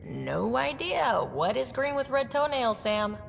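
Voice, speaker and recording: A woman's voice: sits high, sing-song, a little slow, gravelly, a little hiss or hum, heavily acted. A woman playing a confident, friendly young space explorer in a video game, with an assured, dryly wry manner. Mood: dry amusement.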